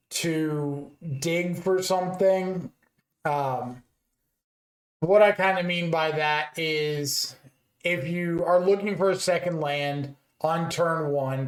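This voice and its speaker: A man with a slightly raspy voice talks through card game strategy in a relaxed, casual way, thinking aloud with fillers as he goes. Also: on the high side, reverberant. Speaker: card game content creator.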